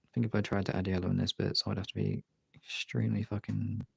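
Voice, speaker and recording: Gravelly, husky British livestreamer. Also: low-pitched, level tone, fast, a little mellow, dry recording. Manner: informal, sweary, thinking aloud. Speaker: live streamer drawing and chatting with viewers. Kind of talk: casual livestream chat.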